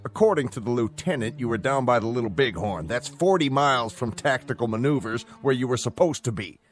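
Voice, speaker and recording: An actor playing a gruff, skeptical army officer who mocks his sergeant's story. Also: mid-range voice, expressive, fairly quick, close to an everyday voice. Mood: sarcastic. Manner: sardonic, mocking. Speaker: commanding officer. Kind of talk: 1960s television drama acting.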